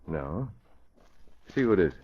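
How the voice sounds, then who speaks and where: An actor playing a resolute, decisive crime-fighting newspaper publisher in a measured old radio drama style. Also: male voice, sits low, sing-song. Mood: firm, decisive. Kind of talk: 1940s radio drama acting. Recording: muffled, noisy old radio recording.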